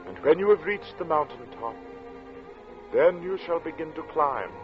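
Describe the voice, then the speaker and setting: An actor playing an earnest narrator of a strange tale, speaking in a measured, theatrical 1940s radio drama style. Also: male voice, a little high, animated, natural voice. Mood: solemn, intense. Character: earnest male narrator recounting a strange encounter. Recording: muffled vintage radio recording with music.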